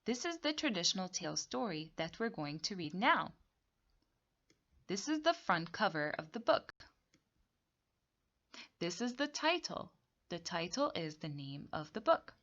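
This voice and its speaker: A woman teacher leading a read-along story lesson for young children, explaining things slowly and deliberately with repetition. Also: fairly low pitch, very animated, fairly bright tone, dull audio. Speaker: teacher reading aloud.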